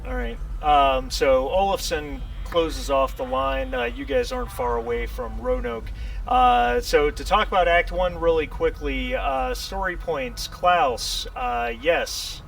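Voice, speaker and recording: A man acting as game master in casual tabletop session talk, speaking in a hesitant, halting way. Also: sits high, animated, creaky, fairly bright tone. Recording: distant mic with faint background noise.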